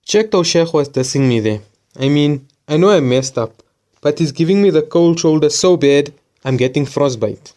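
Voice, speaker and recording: A man's voice: a little high, expressive, moderate pace, warm tone, natural voice. A young man playing a remorseful madrasa student who speaks sheepishly and apologetically. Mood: aggrieved. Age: young adult. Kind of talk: audio drama acting.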